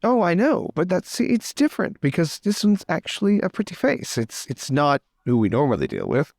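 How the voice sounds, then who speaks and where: A man's voice: fairly high pitch, huge pitch swings, moderate pace, gravelly, darkish tone, no echo, clean studio sound, heavily acted. A man playing a cocky, easily distracted lord in a role-play drama, pompous and stammering as he defends himself. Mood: smug, defensive.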